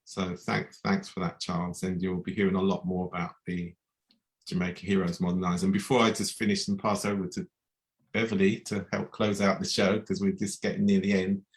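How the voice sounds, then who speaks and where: A man from the UK hosts an online community event in a very deep voice. His delivery is measured and level, with little rise and fall. He often restarts his phrases as he goes. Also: little pitch movement. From United Kingdom. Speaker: host.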